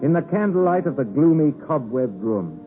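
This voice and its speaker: An actor playing an earnest, proper young gentleman in a 1950s radio drama, speaking formally and dramatically. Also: a little high, big pitch swings, normal speed. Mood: grave. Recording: muffled narrowband 1950s radio broadcast recording.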